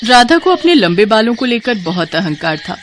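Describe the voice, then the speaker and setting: A woman's voice: on the high side, very animated, moderate pace, slight background noise. A woman narrating a scripted moral story.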